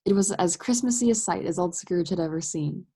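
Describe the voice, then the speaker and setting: A woman's voice: fairly low pitch, fairly quick, dark tone, husky. A woman acting as the narrator of a Victorian Christmas ghost tale in a radio play. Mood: measured storytelling.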